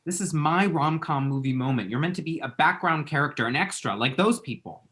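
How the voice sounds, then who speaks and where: A man's voice: a little high, a little fast, fairly bright tone, lightly acted. A man playing a flustered, lovestruck and bitchy young man, sassy and theatrical, in a screenplay table read. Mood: exasperated, bitchy.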